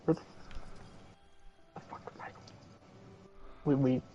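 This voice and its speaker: A man's voice: slow pace, highs cut off, a little hiss or hum. A man in casual game chat, bantering in a crude, bossy way with plenty of swearing. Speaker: co-op gaming partner.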